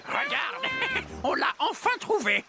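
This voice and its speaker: An actor voicing a dim, excitable animal sidekick in a French cartoon dub, whiny and exclamatory. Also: extremely high pitch, slow pace, vocal fry, exaggerated voice. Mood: excited. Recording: music behind the voice.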